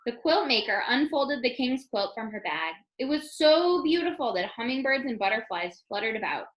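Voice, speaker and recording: A woman reading a children's story aloud as an expressive narrator. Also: a little high, moderate pace, highs cut off. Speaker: storybook reader. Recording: roomy, echoing room sound.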